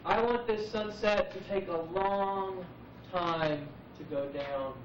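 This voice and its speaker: A man in his forties speaking as a poet at an open mic, musing reflectively and unhurriedly with dramatic pauses. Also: sits high. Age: 40s. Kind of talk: live spoken-word musing. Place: live open mic venue, roomy. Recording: muffled noisy VHS recording, echoey, distant.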